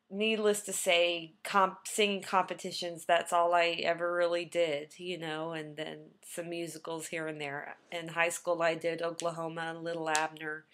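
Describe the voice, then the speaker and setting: A young woman, a trained singer, talking casually about her background to a webcam, with plenty of ums and restarts. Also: lowish voice. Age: young adult. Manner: rambling, many ums and restarts.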